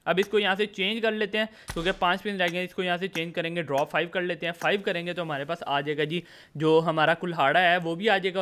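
A man's voice: fairly high pitch, a bit bright, a little hiss or hum. A man with a Pakistani accent doing a casual, informal game commentary voice-over, mixing in English words. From Lahore, Pakistan. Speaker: gaming video host.

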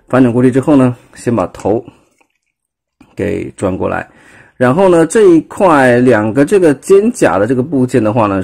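Dark husky man explaining toy steps. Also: fairly low pitch. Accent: Taiwanese Mandarin. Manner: explanatory, filler-heavy, pointing out parts step by step. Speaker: toy reviewer. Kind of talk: casual hands-on toy demonstration. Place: indoors at a table. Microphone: phone.

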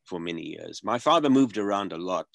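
An older man in his seventies, from South Africa, speaks over a video call from a room at home. His voice sits low and sounds close and dry, with no room echo. He talks earnestly, often restarting his phrases. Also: lively intonation, gravelly. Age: 70s. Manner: earnest, halting with many repeated starts. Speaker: guest filmmaker.

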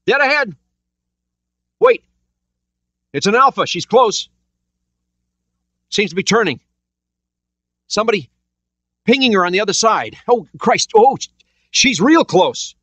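A man's voice: high-pitched, very animated, a little slow, pauses often, fairly bright tone, no room sound, voice pushed up, exaggerated voice. A man voicing a tense young male sonar operator who reports contacts in urgent bursts.

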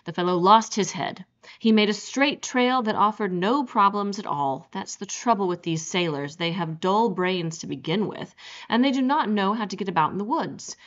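A woman's voice: mid-range voice, normal speed, lightly acted. A woman voicing a suave, menacing aristocratic general with a genteel, relishing manner, on a dull lo-fi recording. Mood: courtly, menacing.

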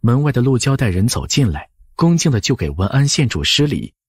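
A man's voice: medium pitch, big pitch swings, a little fast, breathy edge, no echo, studio quality. A man narrating an audiobook in a scripted storytelling style.

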